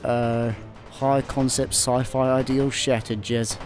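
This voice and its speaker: A man's voice: medium pitch, level tone, slow pace, close to an everyday voice. A man with a Scottish accent playing a hapless, fretful space slacker in a comic sci-fi radio play. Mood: dry worry. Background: music.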